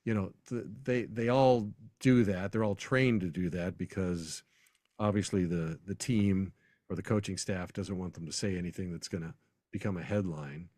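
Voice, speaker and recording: A man's voice: deep voice, fairly even intonation, moderate pace, darkish tone, no echo, clean studio sound. An American man speaking as an announcer guest in a casual sports interview, telling anecdotes in a conversational way.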